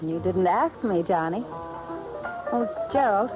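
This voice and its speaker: An actress playing a coy, seductive femme fatale in a 1950s radio drama, languid and teasing. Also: female voice, mid-range voice, lively intonation, takes it slowly, poor audio. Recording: vintage 1950s radio recording, music behind.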